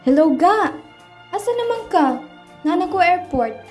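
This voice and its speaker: Woman playing a high-pitched nagging young girlfriend. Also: big pitch swings, unhurried, over loud music, heavily acted. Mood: excited, affectionate. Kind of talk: radio drama dialogue.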